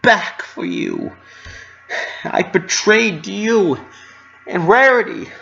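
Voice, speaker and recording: A man's voice: high-pitched, lively intonation, extremely slow, gravelly, sharp tone, faint music behind, pitched above the performer's own voice, full character voice. A man voicing a distraught, guilt-ridden young female cartoon pony, choked and pleading, on a dull recording. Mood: guilty, broken.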